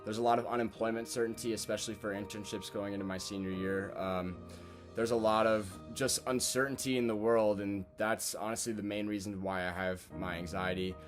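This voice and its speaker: A man's voice: lowish voice, steady tone, fairly quick. A man of twenty, a university student, speaking earnestly and thoughtfully about his own experience. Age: 20s. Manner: earnest, thoughtful, uses fillers. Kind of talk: reflective testimonial. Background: music.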